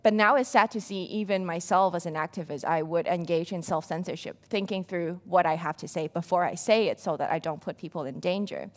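Brief: young woman activist, lowish pitch, steady earnest delivery, seated on a dark stage. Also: brisk, hardly any pauses. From Hong Kong. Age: young adult. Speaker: panelist, activist. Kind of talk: prepared panel speech.

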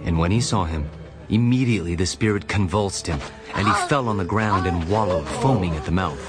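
A man narrating a dramatized audio Bible as a solemn, measured storyteller. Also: low voice, animated. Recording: music bed behind narration.

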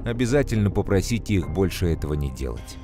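A man playing a calm, knowledgeable mentor who explains things to children in an educational cartoon, measured and clearly enunciated. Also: low-pitched. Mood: earnest, explanatory. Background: music.

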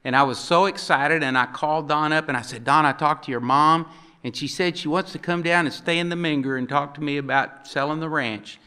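A man's voice: fairly high pitch, a little fast, bright, forceful tone, clear, resonant tone. An older man on a conference panel, telling folksy anecdotes and acting out the people he quotes, at a table mic in a hotel conference room. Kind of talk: storytelling on a panel.

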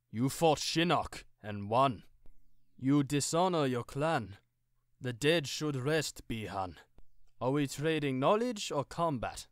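A man's voice: medium pitch, no echo, clean studio sound, natural voice. A man voicing a proud young martial-arts monk from a video game, earnest, formal and confident. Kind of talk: fan impression of video game fighter.

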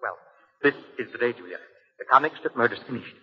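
A menacing, gloating husband in a vintage radio thriller. His voice is very husky and swings widely in pitch. The recording is muffled and reverberant. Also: lively intonation, fast talker. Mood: coolly taunting. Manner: gloating, theatrical. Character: menacing, gloating cartoonist husband plotting his wife's murder. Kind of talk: 1940s radio acting.

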